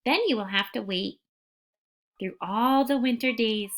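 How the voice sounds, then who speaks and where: Slow, high-voiced woman reading to children. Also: a bit bright. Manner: deliberate, careful phrasing addressed to young children. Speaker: teacher reading a picture book to children. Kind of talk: children's read-aloud and gentle instruction.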